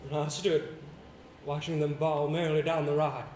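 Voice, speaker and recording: A man acting in an amateur stage play as an earnest actor, speaking in a theatrical, measured way with dramatic pauses. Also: on the high side, little pitch movement, a little mellow, close to an everyday voice. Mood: wistful, measured. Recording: echoey stage recording, faint noise.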